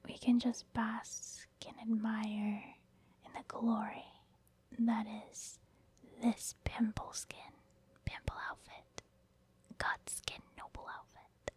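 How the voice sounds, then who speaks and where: Casting: female ASMR gaming host, higher-pitched, breathy whisper, casual and rambling explanations. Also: flat delivery, slow, a little mellow. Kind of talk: whispered ASMR game commentary.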